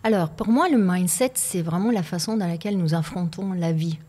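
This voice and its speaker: A woman's voice: on the low side, a little fast, mellow. Italian woman speaking French in an interview. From Italy. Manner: reflective, with frequent hesitations and repeated words. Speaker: guest. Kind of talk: podcast interview conversation.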